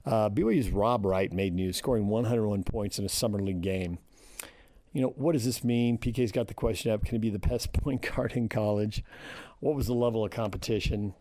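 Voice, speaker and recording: A man's voice: on the low side, fairly quick, mellow, dry recording. An American man hosting a sports radio show, talking casually and thinking aloud in short phrases.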